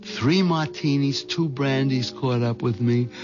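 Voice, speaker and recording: A man's voice: mid-range voice, a little slow, over music, lightly acted. An actor playing a meek, nervous, henpecked husband with murder on his mind in a radio mystery, hesitant and flustered. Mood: rueful narration. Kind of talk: radio mystery drama acting and narration. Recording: dull lo-fi old radio recording.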